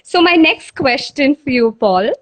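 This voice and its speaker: A woman's voice: high-pitched, expressive, unhurried. A woman hosting a casual live interview, effusive and complimentary, laughing often between questions. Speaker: interviewer, host.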